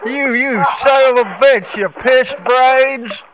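A man's voice: very high voice, slow pace, noisy background. A man putting on an angry, stammering rural hillbilly who hurls folksy insults over the phone. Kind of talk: prank phone call.

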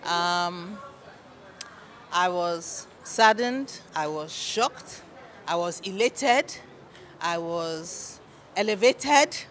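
A middle-aged woman answering an interview in a measured, emphatic way. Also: lowish voice, expressive, fairly bright tone. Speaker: interviewed theatre guest. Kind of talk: on-camera vox-pop interview. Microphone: handheld reporter microphone. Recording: faint event background noise.

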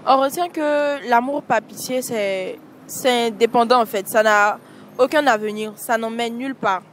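Young woman chatting casually into a handheld mic at night, highish voice, traffic faint behind. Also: a little slow. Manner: casual, repeats points with "en fait". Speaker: street interviewee. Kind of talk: vox pop answer. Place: city street at night beside traffic. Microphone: handheld mic held by interviewer.

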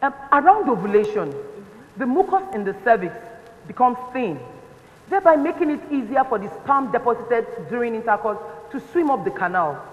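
An actress plays a matronly Nigerian nurse in a stage drama, patient and instructive. Her high voice carries through a reverberant hall on a slightly dull recording. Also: big pitch swings. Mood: patient, explaining. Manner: didactic, deliberate. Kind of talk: stage play dialogue, explanatory. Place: indoor theatre stage set.

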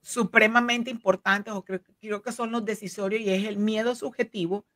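Woman legal counsel, earnest, halting fillers, dry close sound, desk mic on video call. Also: medium pitch, steady tone, fairly bright tone, no echo. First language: Spanish. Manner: earnest, many fillers and restarts. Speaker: immigration legal counsel, guest. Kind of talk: explanatory interview talk. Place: home office, indoors. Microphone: desk microphone on video call.

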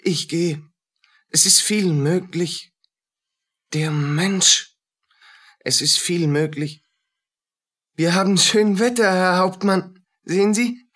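Radio play casting: a feverish, jealous poor soldier, husky-voiced, unhurried, brooding in broken fragments. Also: male voice, high voice, fairly bright tone, exaggerated voice. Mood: dazed, brooding. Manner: halting, brooding, agitated fragments. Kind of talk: German radio play acting.

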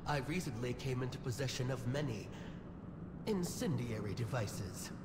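A man's voice: medium pitch, expressive, normal speed, heavy background noise, lightly acted. An actor playing an obliging male supplier of explosives in a fantasy game. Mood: pleased. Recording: echoey hall, loud game ambience.

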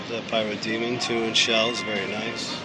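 A man's voice: fairly low pitch, flat delivery, moderate pace, heavy background noise. A man vlogging as he walks a fireworks store with his son, holding the camera and eagerly reading out product names and prices. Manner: enthusiastic, reads out prices and names. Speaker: vlogger, father. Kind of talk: casual shopping commentary. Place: large indoor fireworks store. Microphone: handheld camera. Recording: store music playing loudly behind.

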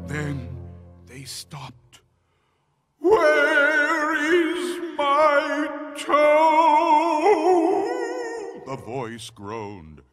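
Slow, suspenseful man telling scary stories. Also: fairly low pitch. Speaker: storyteller. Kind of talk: dramatic scary story narration.

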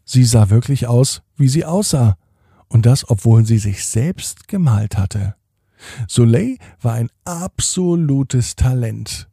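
A man with a dark, gravelly voice tells a bedtime story slowly and softly. His delivery is calm and soothing, with a husky edge. The sound is dry and close, with faint music underneath. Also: mid-range voice, no room sound. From Germany. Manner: calm, soothing, slowing to a hush at the end. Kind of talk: gentle bedtime story reading.

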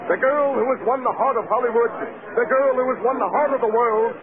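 An actor playing an earnest, dramatic premiere announcer giving live commentary in 1940s radio style. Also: male voice, extremely high pitch, fast, a crowd in the background. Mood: excited. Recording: tinny, very noisy old radio. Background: loud noise.

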